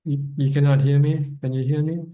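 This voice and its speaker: A man's voice: on the high side, flat delivery, a little fast, narrow, tinny audio. A man answering questions as a Buddhist teacher on a video call, speaking plainly and often repeating words as he finds his phrasing. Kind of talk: online dharma Q&A.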